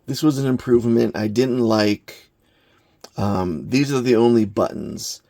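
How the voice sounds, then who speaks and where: A man giving an unscripted hands-on gadget review in a halting, plain-spoken, matter-of-fact way. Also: medium pitch, vocal fry, dark tone, no room sound, studio quality. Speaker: product reviewer, hobbyist maker.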